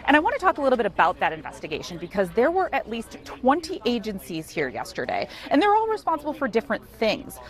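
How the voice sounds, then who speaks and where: A woman's voice: a little high, wildly swooping pitch, quick pace, few pauses, soft background music. An American woman giving a live field news report in an explanatory, conversational way.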